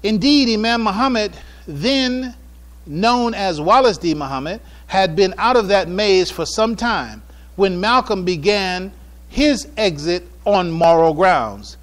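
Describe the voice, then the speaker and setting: An American man delivering a prepared public address on religion and history from notes, with formal, deliberate, emphatic phrasing. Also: sits high, animated. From United States. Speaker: speaker giving a public address.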